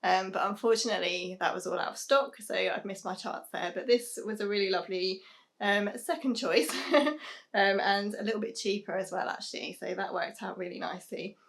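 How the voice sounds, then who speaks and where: A young British woman chats to a camera set up in a small sewing room at home. Her voice is a little croaky and worn. She rambles in a friendly way, full of ums and soft laughs. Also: mid-range voice, fairly quick. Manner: chatty, many "um"s, soft laughs. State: hoarse, losing her voice. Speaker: sewing vlogger. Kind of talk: casual chat to camera.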